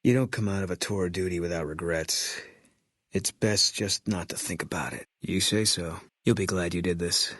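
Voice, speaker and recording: An actor playing a terse, guilt-haunted ex-army sniper in a video game, deadpan and clipped, with a low husky voice. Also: male voice, moderate pace, dry recording. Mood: bitter, guarded. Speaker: video game companion character.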